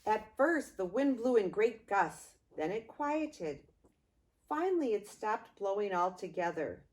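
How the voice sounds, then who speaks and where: A woman's voice: medium pitch, rough recording. An older woman reading a picture book aloud to young grandchildren, expressively, with playful asides to the listeners. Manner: expressive, unhurried, addressing small children.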